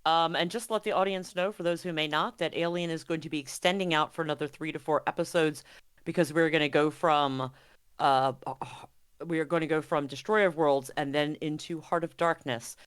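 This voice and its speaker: A woman's voice: deep voice, steady tone, a little fast, clear, resonant tone, no echo, clean studio sound. A woman running a tabletop roleplaying stream as game master, chatting casually and praising her players in an encouraging way.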